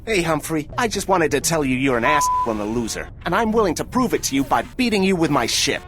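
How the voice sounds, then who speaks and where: A man's voice: on the high side, big pitch swings, a little fast, over music. A man voicing a cheeky young robot reporter in a video game, breezy and wisecracking. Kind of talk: video game character acting.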